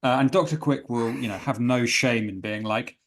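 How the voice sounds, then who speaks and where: A man with a mid-pitched voice plays in a tabletop game. He talks casually, as if among fellow players. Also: normal speed.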